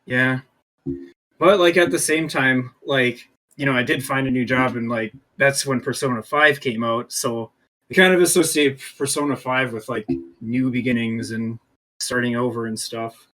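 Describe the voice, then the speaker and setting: A man with an average-pitched voice chats on a podcast. He reminisces in an unscripted, personal way. His phrasing is informal, full of "like". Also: normal speed, a bit bright, low-quality recording. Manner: informal, says "like" constantly. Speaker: podcast co-host. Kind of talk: casual chat, personal reminiscing.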